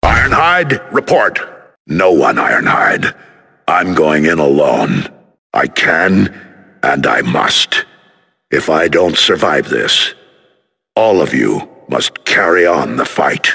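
A giant heroic robot leader from a video game. He speaks slowly and solemnly, with a forceful, husky edge and resolute authority. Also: rumbling low, sing-song, full character voice. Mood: solemn resolve. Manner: solemn, commanding, measured.

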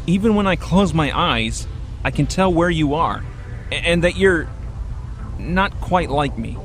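A man's voice: a little high, lively intonation, unhurried, faint music behind. A man playing an earnest, curious apprentice in a fantasy audio drama, eager and a little tentative.

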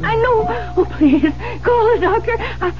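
An actress in a 1970s radio drama playing a meek, devoted secretary with a timid, fluttery manner. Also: female voice, extremely high pitch, expressive, unhurried. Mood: panicked, pleading. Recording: dull old radio recording, music behind.

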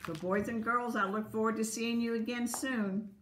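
An older woman reading a picture book aloud to young children in an animated storytelling manner. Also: on the high side, normal speed, a little hiss or hum. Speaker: volunteer story reader. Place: dining room at home, indoors. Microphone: camera across the table. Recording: roomy home camera sound.

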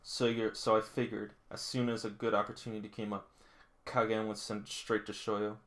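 A man's voice: lowish voice, little pitch movement, brisk, fairly bright tone. Man reading aloud, stumbling now and then. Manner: stumbles and rereads lines, chuckles at the story. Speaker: manga read-along host. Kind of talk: reading manga aloud with casual commentary. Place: small indoor room with figurines.

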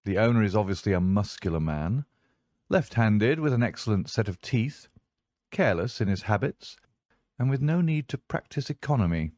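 A man voicing an assured, analytical gentleman detective in an audiobook, with a precise, lecturing manner. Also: on the low side, big pitch swings, moderate pace, no room sound. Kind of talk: audiobook character voice.

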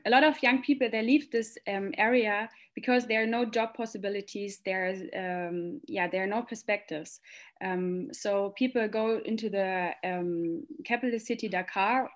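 A woman giving an informal webinar presentation over a video call, in a hesitant, halting way. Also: mid-range voice.

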